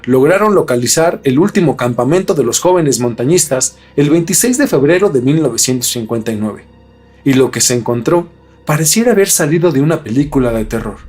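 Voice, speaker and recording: A man hosts a podcast, reading scripted mystery narration with dramatic, deliberate phrasing. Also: mid-range voice, lively intonation, darkish tone, breathy edge, faint music behind. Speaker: podcast host.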